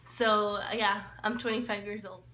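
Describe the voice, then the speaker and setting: Bright-voiced young woman vlogging. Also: medium pitch, little pitch movement, moderate pace. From El Salvador. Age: 20s. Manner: chatty, laughs often, trails off with "so". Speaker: lifestyle vlogger. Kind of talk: casual get-to-know-me chat. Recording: echoey room, faint hiss.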